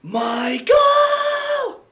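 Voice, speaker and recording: A man playing a stern, indignant school recess supervisor in a storybook, scolding loudly and emphatically. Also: very high-pitched, huge pitch swings, very slow, muffled recording, pitched above the performer's own voice, full character voice.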